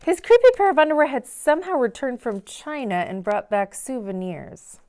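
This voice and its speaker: A woman's voice: high-pitched, lively intonation, normal speed, a little mellow, clean tone. A woman hosting a story time in a library, reading a picture book aloud to children with dramatic emphasis on key words. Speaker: read-aloud host in a library.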